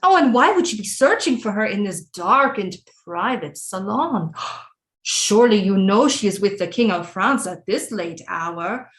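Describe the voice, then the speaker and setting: A woman playing a cunning, imperious French queen mother with grandiose, deliberate period speech in an audio drama. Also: mid-range voice, expressive, a bit bright. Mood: feigned innocence. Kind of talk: theatrical audio drama acting.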